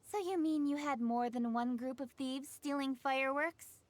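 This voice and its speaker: A woman's voice: high voice, fairly even intonation, normal speed, no echo, lightly acted. A woman playing a stern, composed, commanding young warrior in a video game cutscene. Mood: composed, businesslike.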